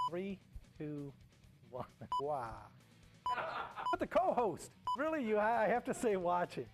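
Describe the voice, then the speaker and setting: A man jokes his way through a short promo with a lively, high, bouncing voice. Faint music sits in the background. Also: slow. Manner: playful, joking. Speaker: co-host of a promo spot.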